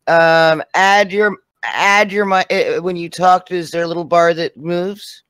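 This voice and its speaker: A man on a livestream bantering casually in a halting, teasing, sarcastic way, with some swearing. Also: sits high, steady tone, a bit bright, clean tone. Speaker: co-host or guest on a livestream.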